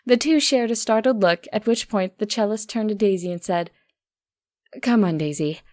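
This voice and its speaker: A woman's voice: mid-range voice, fairly quick, darkish tone, dry recording, studio quality. A woman reading a first-person story aloud as an audiobook narrator.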